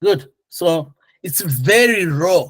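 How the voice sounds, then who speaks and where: A male instructor with a slightly high voice, recorded fairly dry. He lectures in an informal, explanatory way and keeps putting questions to his students to check they follow. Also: lively intonation, slow pace, fairly bright tone, breathy edge, dry recording.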